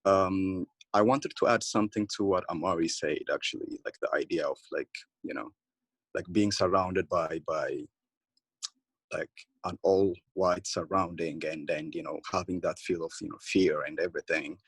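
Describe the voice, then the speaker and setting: Guy from ethiopia, low gravelly voice, keeps restarting and saying like and you know. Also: a bit bright, no room sound. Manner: halting, many fillers and restarts. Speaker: participant. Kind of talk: casual group discussion.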